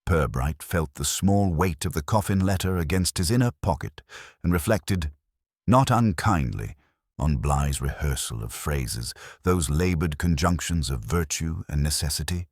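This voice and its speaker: A man reading a scripted literary mystery in a measured, formal and dryly wry manner. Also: very deep voice, animated, slightly rough, no room sound, clean studio sound. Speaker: narrator. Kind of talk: scripted literary audiobook narration.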